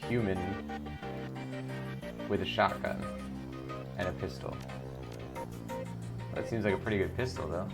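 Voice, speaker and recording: A man's voice: low-pitched, measured pace, creaky, long pauses, over loud music. A man hosting a gaming video, giving casual commentary and reading the game's text aloud. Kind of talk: casual game commentary with read-aloud narration.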